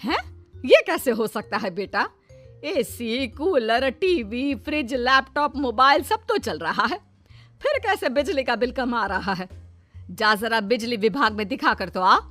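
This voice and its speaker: Casting: woman playing middle-aged Indian mother-in-law, highish voice, suspicious, scolding and protective, animated bedtime tale with faint music. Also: measured pace, exaggerated voice. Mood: puzzled, suspicious. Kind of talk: Hindi animated moral story voice acting.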